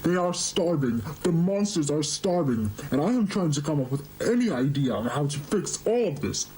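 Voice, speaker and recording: A man's voice: on the high side, very animated, moderate pace, a little mellow, slight background noise, low-quality recording. A man voicing an exhausted, anxious monster queen who scolds her husband in an amateur horror drama. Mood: exasperated, scolding.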